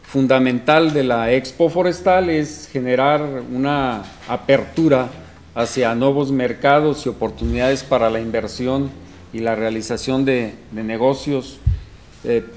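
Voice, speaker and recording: Mexican man in his forties, official at a press table, deliberate, fills pauses, slight background hiss. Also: mid-range voice, a little mellow. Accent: Mexican Spanish. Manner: deliberate, many fillers, lists items. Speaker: federal forestry official. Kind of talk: press conference statement. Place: indoor press room. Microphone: desk microphones.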